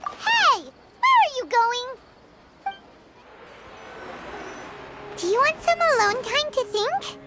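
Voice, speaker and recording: A woman voicing a tiny, excitable, childlike fairy companion in a video game, inquisitive and exclamatory, speaking of herself in the third person. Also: very high voice, a little slow, noisy background, exaggerated voice. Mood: surprised. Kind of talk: animated video game cutscene acting.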